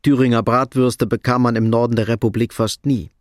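A man narrating a radio drama in a measured, understated, literary style. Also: mid-range voice, fairly even intonation, no echo, studio quality.

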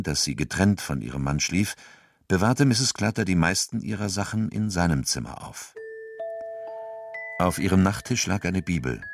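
Deep-voiced male narrator, sober. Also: no room sound. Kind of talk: scripted literary narration.

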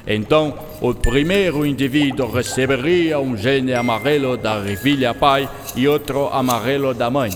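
A man's voice: medium pitch, moderate pace, few pauses, close to an everyday voice. Man playing German-accented eccentric scientist in reverberant hall. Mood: patient explaining. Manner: didactic, peppers speech with German words. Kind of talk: scripted radio drama dialogue. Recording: long hall reverb, music bed.

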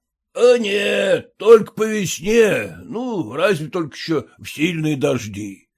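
A conciliatory village driver from a storybook , voiced by a man. He talks in an unhurried, hesitant drawl with a husky edge. His manner is folksy, placid and soothing. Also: sits high, big pitch swings, voice pushed up, heavily acted. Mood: musing.

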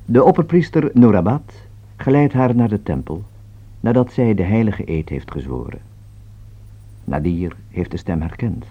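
Male radio announcer, Netherlands Dutch accent. Low register with animated intonation, formal delivery of scripted programme notes, old band-limited broadcast sound. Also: moderate pace, breathy edge, lo-fi sound.

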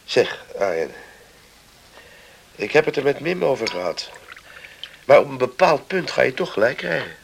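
An actor playing a brisk, practical young farm man in a radio drama, emphatic and clipped. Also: medium pitch, sing-song, vocal fry, a little hiss or hum. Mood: brisk teasing. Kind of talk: Dutch radio drama acting.

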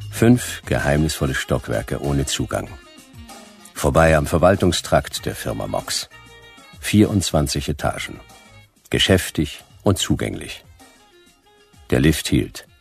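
A laconic noir private eye for a radio play. His voice is extremely deep, with a heavy creak and a lively swing in pitch. He delivers short, dry, deadpan fragments. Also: heavily acted. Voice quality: gravelly. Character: laconic hard-boiled male private detective narrator. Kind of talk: scripted noir radio drama narration.

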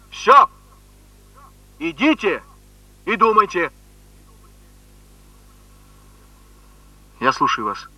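An actor playing a brusque, emphatic middle-aged boss with colloquial speech in a 1970s Soviet radio play. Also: male voice, high-pitched, sharp tone, no room sound, dull audio, full character voice. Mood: stern, impatient. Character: brusque middle-aged boss, boisterous and hearty with old friend.

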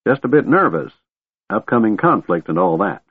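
A cranky, excitable old wizard. The voice is raspy and pitched well up, jumping about as he blusters and sputters. He sounds scatterbrained and easily flustered. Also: unhurried, dry recording, phone-quality sound, exaggerated voice. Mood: sheepish. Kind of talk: audiobook fantasy character voice.

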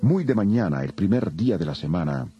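A man's voice: on the low side, big pitch swings, fairly quick, mellow, muffled recording. A man narrating scripture as a solemn, dramatized scripted reading. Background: music.

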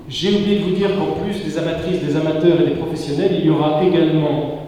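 A middle-aged man, a theatre director, presenting his new production to an assembled audience, pausing to search for words. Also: a little high, steady tone, dark tone, a little hiss or hum. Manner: hesitant, searching for words with fillers. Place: large hall before an assembly. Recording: echoey hall, picked up from far off.

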